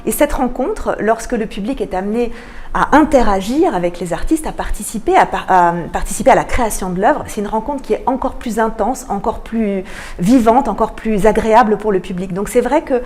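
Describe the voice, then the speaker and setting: A woman's voice: mid-range voice, fairly quick, a little mellow. A woman answering as a radio interview guest, giving articulate, enthusiastic explanations as a cultural programme manager.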